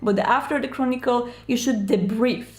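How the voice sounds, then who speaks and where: A woman's voice: on the high side, normal speed, darkish tone. A woman host giving chatty, conversational advice to viewers in a casual style. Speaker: host of a roleplaying advice video.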